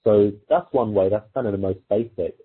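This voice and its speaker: A man's voice: fairly low pitch, brisk, slightly rough. A man working as a dating coach, giving informal, conversational advice and explaining his points through example exchanges. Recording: muffled phone-like audio, thin and lo-fi.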